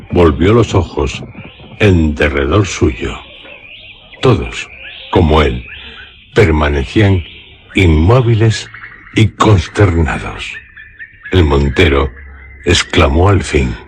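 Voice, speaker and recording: A man's voice: a bass voice, lively intonation, takes it slowly, pauses often, faint music behind. A man with a Castilian Spanish accent solemnly narrating a scripted suspense story for radio theatre.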